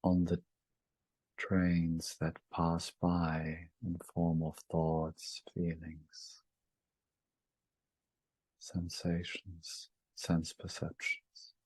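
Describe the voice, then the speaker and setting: Very deep, slow male meditation guide. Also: monotone, slightly rough, no echo. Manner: gentle, halting phrases with long pauses.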